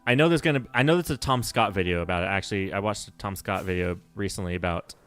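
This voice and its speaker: A man with a low voice talks loosely through a game livestream. He rambles, stops to correct himself, and laughs easily. Soft music plays faintly behind him. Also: fast talker. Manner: rambling, self-correcting, nerdy, laughs often. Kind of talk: casual livestream chat while playing.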